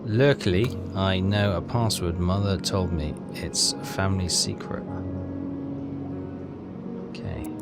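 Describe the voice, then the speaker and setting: A man's voice: low-pitched, slow, pauses often. A man doing casual game commentary, hesitantly thinking aloud and reading text from the screen. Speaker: game walkthrough player. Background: loud game music.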